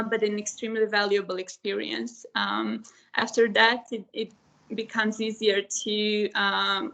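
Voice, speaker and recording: A woman's voice: mid-range voice, vocal fry, a bit bright, poor audio. A young woman from Romania, a panelist on a video call, talking hesitantly with many fillers about her traineeship. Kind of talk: online panel talk.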